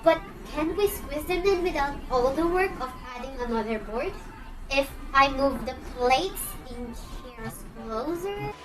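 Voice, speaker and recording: A woman's voice: high-pitched, animated, a little slow, music in the background, poor audio. A teenage girl playing a flustered, impulsive young daughter in an amateur one-act play. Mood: pleading.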